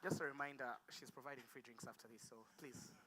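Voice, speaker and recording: A man's voice: mid-range voice, fairly even intonation, fast talker. A man acting as master of ceremonies, informally introducing the next speaker at an event. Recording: clearly noisy live event microphone.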